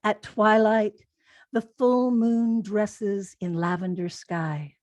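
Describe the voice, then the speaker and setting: A woman poet reading haiku aloud in a measured delivery with pauses between the phrases. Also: mid-range voice, fairly even intonation, a little mellow, no echo, clean studio sound. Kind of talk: poetry reading.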